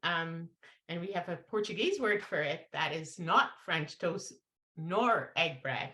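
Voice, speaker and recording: Woman, from Guyana, panel facilitator, halting speech, low voice, echoey room. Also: expressive, sharp tone. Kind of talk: online panel discussion.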